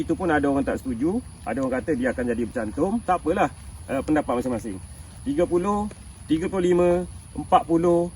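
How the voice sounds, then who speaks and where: A man's voice: fairly high pitch, darkish tone, distant mic, slight background noise, rough recording. A man in his fifties with a Malaysian Malay accent, chatting casually to viewers about his orchard as a farming channel host, laughing often and checking that they follow. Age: 50s. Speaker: durian farmer and channel host. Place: outdoors in a durian orchard.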